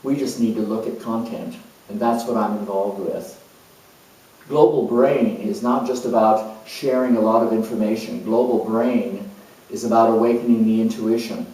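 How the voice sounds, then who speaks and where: A man's voice: medium pitch, warm tone, close to an everyday voice. A man playing an eccentric, scatterbrained, idealistic nonconformist in a rambling, emphatic theatrical monologue. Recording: very echoey room, far from mic.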